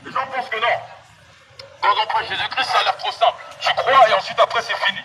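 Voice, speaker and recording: A man delivers a fervent outdoor sermon in a high-pitched voice. He speaks through a megaphone, repeating key phrases emphatically as he addresses people passing by. The street around him is clearly noisy, with vehicles behind his voice. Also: fast. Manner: exhortatory, emphatic, repetitive, addressing passers-by directly. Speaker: street evangelist.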